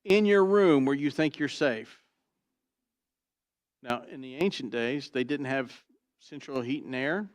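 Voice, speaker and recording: A pastor with a booming, trained voice preaching an expository sermon, swinging from loud emphasis to quiet asides and asking "Why?" before he answers. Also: animated, unhurried, pauses often, no echo. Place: church sanctuary.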